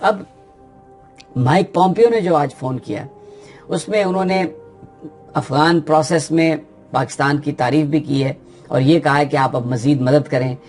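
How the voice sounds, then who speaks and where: A man with a Pakistani Urdu accent explains political news in an unscripted, steady way, often pausing with "uh" as he thinks. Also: on the high side, faint music behind. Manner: steady explanatory delivery with frequent hesitations.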